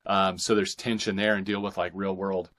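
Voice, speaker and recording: A man speaking as an author guest in a casual interview, haltingly, thinking aloud and repeating phrases as he goes. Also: low-pitched, little pitch movement, fairly bright tone. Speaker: podcast guest, fiction author.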